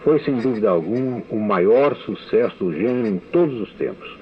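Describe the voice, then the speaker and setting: An older man from Brazil with a Brazilian Portuguese accent, reading his prepared memoir as a recorded testimony, measured and deliberate. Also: fairly low pitch, expressive, a little hiss or hum. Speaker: writer giving a recorded testimony. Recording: tinny phone-like archive radio recording.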